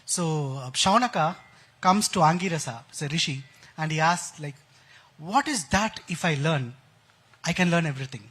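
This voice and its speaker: Male Indian-accented expert, high voice, keen explainer with plenty of jargon, conference interview. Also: expressive, moderate pace, fairly bright tone, husky. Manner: enthusiastic, uses analogies and technical jargon. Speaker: expert guest, educator. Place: conference stage.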